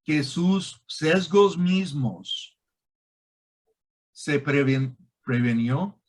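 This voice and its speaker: A man with a foreign accent who is not a native Spanish speaker, explaining as a guest expert in a halting, emphatic way with grammatical slips. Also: fairly high pitch, lively intonation. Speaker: guest expert on online scams. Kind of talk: explanatory conversation.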